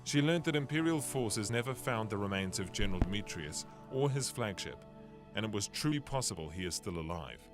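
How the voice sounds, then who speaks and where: A man voicing a young male ship captain who narrates a game's story in an earnest, steady way, with game music behind. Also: lowish voice, fairly quick.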